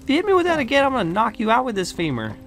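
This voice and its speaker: A man's voice: on the high side, very animated, fast talker, vocal fry, fairly bright tone, soft background music, exaggerated voice. A man playing a cocky, teasing companion with a dry wisecracking delivery in a video game roleplay. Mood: casual, cocky. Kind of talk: improvised Minecraft roleplay.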